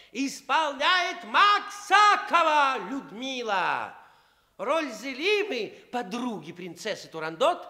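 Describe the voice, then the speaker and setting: Shrill, clownish commedia chancellor. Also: male voice, bright, forceful tone, full character voice. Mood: pompous, playful. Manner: comic, stammering, theatrically drawn-out announcing. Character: Tartaglia, clownish male commedia dell'arte mask playing pompous chancellor. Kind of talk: theatrical comedy master of ceremonies. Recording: Soviet radio stage recording, long hall reverb.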